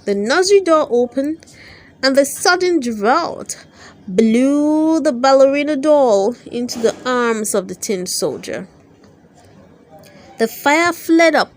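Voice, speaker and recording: A woman reading a children's storybook aloud in an expressive storytelling manner, with dramatic emphasis. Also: fairly high pitch, slight background noise. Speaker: storybook reader and channel host.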